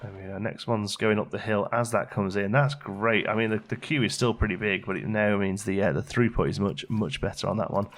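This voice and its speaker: A man giving casual, low-key gameplay commentary as a video host, thinking aloud and muttering to himself as he works. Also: steady tone, brisk, few pauses. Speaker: let's play video host.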